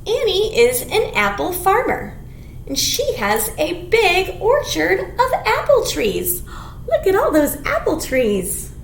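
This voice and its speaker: A woman presents a children's storytime in a very high, sing-song voice. Her pitch swoops widely and she takes her time, phrasing simply for little listeners. The room sounds echoey. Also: wildly swooping pitch, slow pace. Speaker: children's librarian hosting storytime. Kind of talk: children's storytime read-aloud with songs and rhymes. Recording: echoey room, clear background noise.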